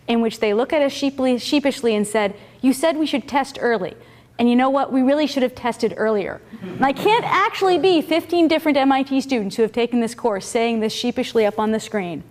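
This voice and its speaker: A woman's voice: on the high side, a little mellow. A woman co-teaching a university course, giving wry, emphatic warnings to students. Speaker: course instructor. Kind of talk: university lecture.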